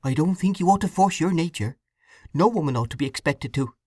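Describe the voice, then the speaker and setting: A woman's voice: low voice, animated, a little fast, dark tone, slightly rough, no room sound, studio quality, voice pushed up. A woman voicing a kindly old widow with a rural English dialect, homely and soothing.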